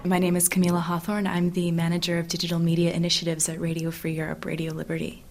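A woman manager answering interview questions in a relaxed, conversational way with some fillers. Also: on the low side, fast, soft background music. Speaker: media manager.

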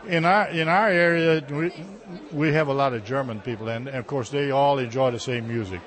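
A man from Texas, a heritage center director answering interview questions in a halting, folksy way, often repeating words. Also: medium pitch, a bit bright. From Texas, USA.

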